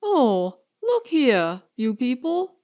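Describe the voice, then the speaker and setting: A woman's voice: high voice, sing-song, very slow, gravelly, warm tone, heavily acted. A woman voicing a take-charge society man hosting guests in an audiobook, emphatic and objecting. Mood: insistent.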